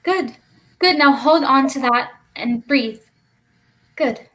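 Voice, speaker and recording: A woman's voice: high-pitched, slow, bright, forceful tone, exaggerated voice. A woman playing a reassuring, teasing child in a staged reading of a play. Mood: soothing. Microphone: video call.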